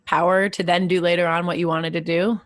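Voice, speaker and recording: Young woman interviewer from Texas, slightly low voice, thoughtful and probing. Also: level tone, fairly quick, dry recording, clean studio sound. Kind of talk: conversational interview.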